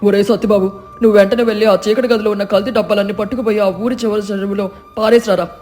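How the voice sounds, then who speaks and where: A man's voice: high voice, fairly even intonation, fast talker, darkish tone, faint music behind, lightly acted. A man playing a greedy village food-stall owner turned repentant, earnest and theatrical, in a moral story. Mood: resolute. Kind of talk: dramatised moral story narration.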